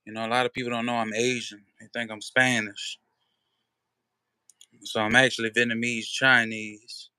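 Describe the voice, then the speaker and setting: A man's voice: medium pitch, flat delivery, moderate pace, bright, forceful tone, smooth, dry recording, clean studio sound. A man hosting a livestream, chatting casually to his viewers in a laid-back, slangy way with lots of fillers. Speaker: livestream host.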